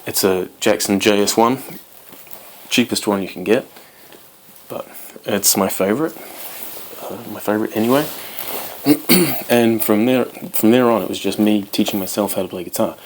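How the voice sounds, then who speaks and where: A man's voice: fairly low pitch, normal speed, slight background noise, rough recording. A man in his twenties, born in Wales, chatting casually to camera as host of his guitar channel, rambling with many ums. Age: 20s.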